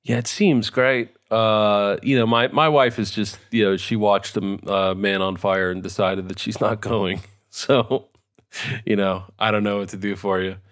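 Middle-aged guy with a lowish voice, rambly and wry, laughs a lot. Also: steady tone, measured pace, a bit bright. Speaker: podcast co-host. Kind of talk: casual chat.

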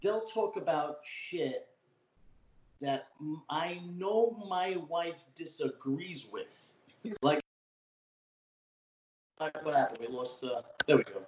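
A man's voice: on the high side, slow pace, pauses often, a little mellow, a little hiss or hum. Talkative Brooklyn man, roomy dull sound. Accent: old-school Brooklyn. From Brooklyn, New York. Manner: talkative storyteller, swearing freely. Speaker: podcast guest. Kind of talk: casual chat. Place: home room, remote call. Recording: echoey room, dull lo-fi sound.

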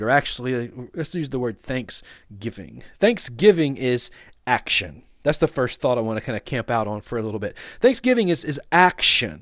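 A man preaching a sermon in a relaxed, chatty way, often restarting his sentences. His voice sits at a middle pitch and is recorded close and dry, with no room echo. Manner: casual, halting, many restarts and fillers. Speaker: pastor. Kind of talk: conversational sermon with personal story.

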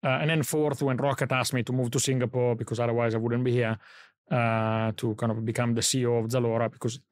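A man's voice: mid-range voice, little pitch movement, fast talker, no room sound. A middle-aged man from Italy with an Italian accent, a podcast guest in a studio recounting his career in a reflective, think-aloud way. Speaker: guest, company founder. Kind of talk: podcast interview.

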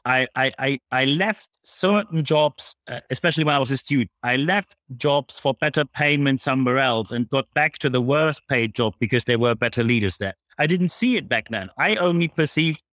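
A man's voice: mid-range voice, a bit bright, no echo. A man with a German accent giving blunt, emphatic, explanatory answers as an interview guest. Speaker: guest, public speaker on leadership.

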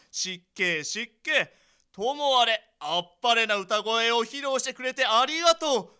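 A man's voice: extremely high pitch, lively intonation, takes it slowly, sharp tone, clean tone, exaggerated voice. A man playing an oblivious, cheerful company president who is effusive and jovial in a comic radio drama. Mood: delighted, chuckling. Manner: effusive, chortling, blithely jovial.

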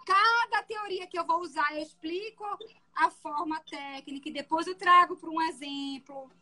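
A woman defense lawyer with a soft voice, explaining her courtroom methods in an interview. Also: very high voice, normal speed.

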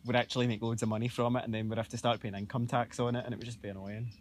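A man's voice: medium pitch, little pitch movement, a little hiss or hum. A man co-hosting a podcast outdoors, talking in a playful, camp way. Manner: quick, playful, self-described camp delivery. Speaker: podcast co-host. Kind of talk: casual chat. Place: outdoors near a railway line.

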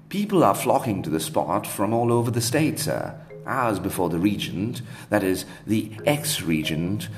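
A man reading aloud voices an anxious, deferential British equerry who speaks politely and hesitantly, with faint guitar music behind. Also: on the low side. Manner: polite, hesitant, keeps saying sir.

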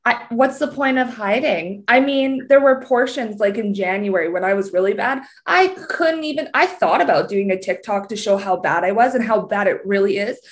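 A woman's voice: mid-range voice, moderate pace, few pauses. A young adult woman with a Canadian accent, a podcast guest chatting candidly and laughing as she tells her story. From Canada, British Columbia. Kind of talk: casual chat.

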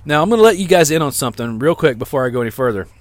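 A man's voice: on the high side, expressive, rapid-fire, no echo. Man, rambling podcast preacher. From Louisiana, American South. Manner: rambling, repeats words, self-deprecating humor. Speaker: podcast host. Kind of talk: sermon-like podcast talk. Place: outdoors.

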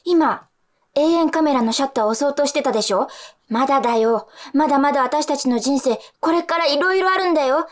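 An actress playing a bossy, excitable young sweetheart with an eager, emphatic manner in a radio comedy drama. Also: female voice, high-pitched, fairly quick, natural voice. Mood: insistent, excited. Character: bossy, excitable young sweetheart planning their whole future.

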